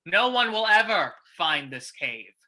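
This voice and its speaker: A man's voice: high-pitched, very animated, measured pace, bright, forceful tone, exaggerated voice, low-quality recording. A man playing a cruel, taunting crook in an old-time radio drama. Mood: taunting, menacing. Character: sneering male crook, a cruel bully in a radio play.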